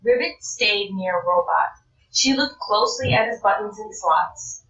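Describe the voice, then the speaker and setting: A woman narrates a children's storybook at a slow, deliberate pace. Her reading is expressive. The recording is echoey and slightly distant, with faint noise. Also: medium pitch, dull audio. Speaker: storybook reader. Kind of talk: children's read-aloud narration.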